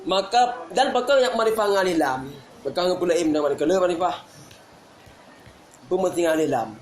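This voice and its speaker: High-voiced teacher in an echoey room. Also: male voice, lively intonation, moderate pace. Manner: reads Arabic then explains, repeating key terms. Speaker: religious teacher. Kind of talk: explaining an Arabic classical text. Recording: echoey room with faint background noise.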